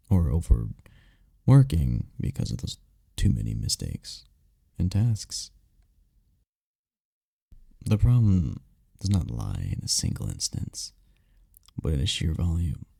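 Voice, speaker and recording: Man playing a deep, gravelly, tender incubus boyfriend. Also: no echo, clean studio sound, heavily acted. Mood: tender, caring. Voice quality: deep, dark, gravelly, husky. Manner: soft, unhurried, caring, with breathy murmurs. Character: tender, powerful male incubus boyfriend, soothing and reassuring. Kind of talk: intimate roleplay ASMR, scripted comfort monologue. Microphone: close mic, dry.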